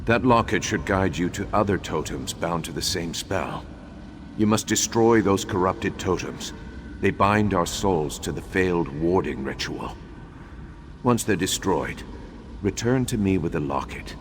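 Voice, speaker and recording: An actor playing a grave, remorseful undead imperial battle mage in a video game, speaking in a formal, measured, solemn way. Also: male voice, low voice, lively intonation. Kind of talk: dramatic video game dialogue. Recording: long hollow reverb, faint background noise.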